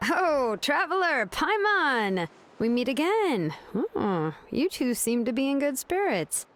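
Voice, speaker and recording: A woman's voice: high voice, sing-song, takes it slowly, fairly bright tone, exaggerated voice. An actress playing an easygoing adult craftswoman in a video game, talking in a laid-back, matter-of-fact way. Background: game music.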